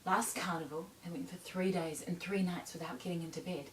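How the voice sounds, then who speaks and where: A woman performing a stage monologue as a troubled young bohemian runaway, confessing in a deliberate, haunted manner with pauses. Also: steady tone, close to an everyday voice. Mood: haunted, recounting. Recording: roomy, distant mic, faint rumble.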